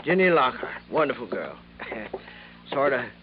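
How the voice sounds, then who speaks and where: An actor playing a talkative, homespun apartment house manager. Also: male voice, mid-range voice, sing-song, a little slow. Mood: gossipy. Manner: talkative, homespun, says ain't. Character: chatty, folksy male apartment house manager. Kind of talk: 1950s radio drama acting. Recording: muffled old radio broadcast with hiss.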